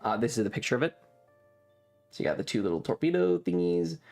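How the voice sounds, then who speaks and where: A man's voice: mid-range voice, sing-song, fast talker, vocal fry, a little mellow, breathy edge, soft background music. Casual, rambling man chatting on a livestream. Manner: rambling, informal, sweary, offhand. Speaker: streamer and host. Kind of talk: casual livestream chat, describing travel photos.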